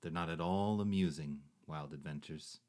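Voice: normal speed, dark tone. Someone playing a worn-out ragged young man. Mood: weary. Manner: low, faltering, exhausted. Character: weary, ragged young man, humbled and hungry.

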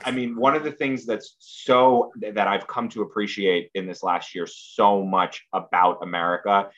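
A man who is a podcast guest, speaking in a halting, hedging way. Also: lowish voice, steady tone, fairly bright tone, lo-fi sound. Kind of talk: casual political chat.

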